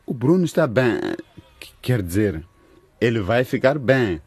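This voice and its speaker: An actor playing a patient doctor in a radio drama, calm and reassuring as he explains things. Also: medium pitch, unhurried, breathy edge, dry recording, soft background music.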